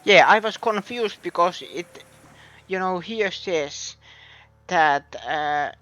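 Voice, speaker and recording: High, bright Finnish-accented man. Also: takes it slowly. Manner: musing, hesitant, thinking aloud. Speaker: streamer. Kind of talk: casual gameplay commentary.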